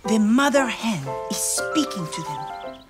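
A man in his thirties playing a gentle, excitable friar troubadour in a children's show, with expressive theatrical storytelling. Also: high-pitched, a little slow. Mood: playful, storytelling. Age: 30s. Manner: expressive storytelling, sprinkled with French. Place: studio set. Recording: music bed under the voice.

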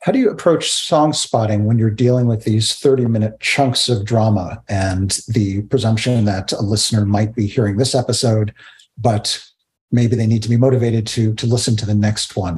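Measured middle-aged man, lowish voice. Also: steady tone, darkish tone. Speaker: interviewer. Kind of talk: interview questions and commentary. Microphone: video call.

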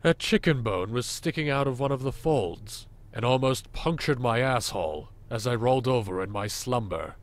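A man acting as a crude male boss in an audio drama. He speaks in a deadpan, sarcastic way at a middling male pitch, like someone worn down by his marriage. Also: normal speed, hardly any pauses, natural voice.

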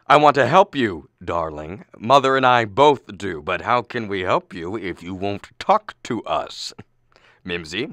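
Deep, slow exasperated father played by a man. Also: lively intonation, a bit bright, no echo. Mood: strained patience. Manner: halting, throat-clearing, erupting when frustrated. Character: exasperated, blustering middle-aged father and husband. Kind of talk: stage comedy acting for radio.

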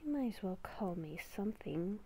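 A woman voicing an inner werewolf beast in a breathy whisper, blunt, foreboding and impatient, for an amateur game roleplay. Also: medium pitch, lively intonation, moderate pace, warm tone. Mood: dry, impatient.

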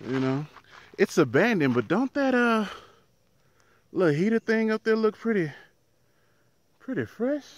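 A man in his thirties, a truck driver, chatting casually to his viewers in a handheld vlog, outdoors by a highway with the phone at arm's length. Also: sing-song, unhurried, pauses often. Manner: chatty, talks to viewers as "y'all", laughs easily. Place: outdoors in an empty desert town by a highway. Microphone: phone held at arm's length.

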